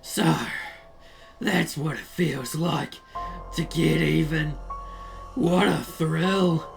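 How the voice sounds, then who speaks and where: A man's voice: a little high, fairly even intonation, extremely slow, gravelly, exaggerated voice. A man voicing a cold, smug schoolboy turned vengeful killer in a visual novel, with a smirking, menacing manner. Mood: thrilled. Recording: distant voice under loud music.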